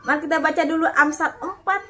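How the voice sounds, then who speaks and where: A woman in her thirties giving an animated, casual sermon-style talk, often addressing her listeners as "Bapak Ibu" and reading verses aloud. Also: high voice, quick pace. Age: 30s. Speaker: online preacher.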